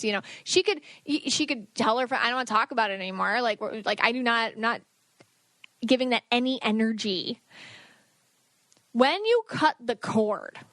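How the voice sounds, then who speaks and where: A woman's voice: fairly high pitch, fairly bright tone, dry recording. A woman hosting a podcast, giving casual spiritual advice in a rambling, emphatic way, full of "like" and "you know" and speaking straight to the listener. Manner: emphatic, direct address to listener. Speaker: podcast host, psychic reader giving advice.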